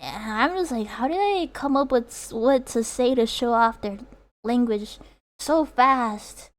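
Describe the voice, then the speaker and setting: A woman's voice: on the high side, slow. A young woman streamer whose first language is Chinese, chatting casually with viewers, rambling with lots of fillers and laughter. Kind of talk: casual chat reading viewer comments.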